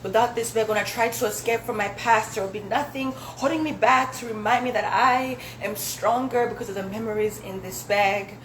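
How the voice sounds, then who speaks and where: A woman's voice: a little high, normal speed, hardly any pauses. Young African woman poet in an echoey room. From Zambia, later the United States. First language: Swahili. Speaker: spoken word poet. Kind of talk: live spoken word poetry performance. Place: indoor dinner event with audience. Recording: echoey room with loud background noise.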